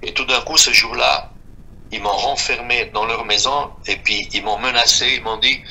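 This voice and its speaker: A man of fifty-six from Switzerland speaks in a halting, repetitive way as he gives an unscripted personal testimony. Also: mid-range voice, steady tone, gravelly, sharp tone, rough recording. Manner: halting, repeating himself. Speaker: guest giving a personal testimony.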